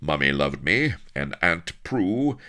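A man voicing a tall, priggish English gentleman hero in a story, with a cool, prim, unflappable manner. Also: low voice, big pitch swings, slow, full character voice. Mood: cold, prim. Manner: cool, prim, stiff-upper-lip delivery.

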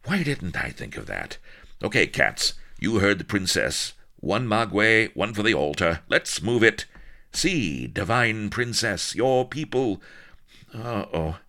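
A man voicing a brash, fast-talking showman with breezy slang. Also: lowish voice, big pitch swings, pushed and bright, pitched above the performer's own voice, full character voice.

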